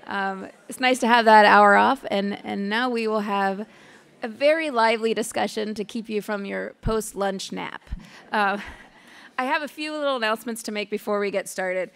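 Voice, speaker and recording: A woman's voice: medium pitch, moderate pace, creaky, fairly bright tone. A woman, who has a cold, introduces a conference panel from a podium microphone in a large lecture hall, enthusiastic and chatty with many fillers. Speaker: conference moderator.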